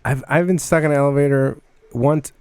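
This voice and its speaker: A man's voice: a little high, fairly quick, darkish tone, clean tone. A man hosting a casual podcast, talking in a rambling, self-interrupting, casually profane way. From United States. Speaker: podcast host.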